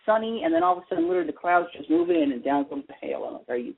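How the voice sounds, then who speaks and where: A man's voice: fairly high pitch, lively intonation, rapid-fire, gravelly, husky. An American man chatting casually on a radio show, talkative and opinionated, rambling with emphatic points, heard over a phone line. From United States. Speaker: guest commentator, soap opera fan.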